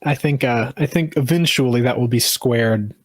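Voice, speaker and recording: A man's voice: mid-range voice, moderate pace, no echo, studio quality. Rambling man chatting on a podcast. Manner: rambling, many restarts and fillers. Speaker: podcast co-host. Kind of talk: casual political chat.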